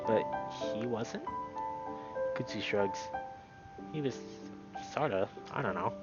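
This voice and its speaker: A man streaming a visual novel, moving between read-aloud narration and casual, animated commentary. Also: on the low side, lo-fi sound.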